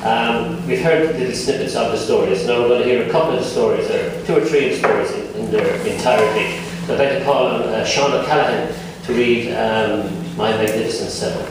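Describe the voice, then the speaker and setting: An Irish man hosting a book launch and calling up readers, relaxed and halting with fillers. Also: mid-range voice. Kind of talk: introducing readers. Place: large echoey museum hall. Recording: echoey hall, voice from far off, loud noise.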